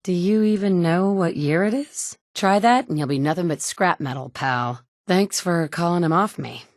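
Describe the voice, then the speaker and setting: A tough, wry survivor heroine of a video game, speaking in a slightly low, blunt voice. She delivers her lines with dry sarcasm. The studio sound is close and dry. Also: expressive, normal speed, a little mellow, no room sound. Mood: terse. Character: tough wry woman survivor, young adult. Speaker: player character.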